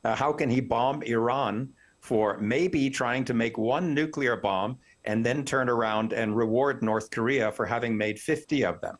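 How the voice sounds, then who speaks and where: Man, academic news guest. Medium-pitched voice, articulate, opinionated, analytical commentary. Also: measured pace. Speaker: professor, expert news guest.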